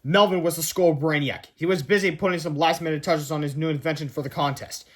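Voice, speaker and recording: A man's voice: a little high, steady tone, fast talker. A man reading scripted children's book narration aloud as a storyteller.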